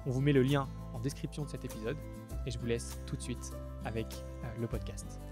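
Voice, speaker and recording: A man's voice: mid-range voice, steady tone, fairly quick, over loud music. A young man, a student, hosting a theology podcast, reading a sponsor segment and introducing guests in a friendly way. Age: young adult. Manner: friendly, scripted intro, clear. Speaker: podcast host.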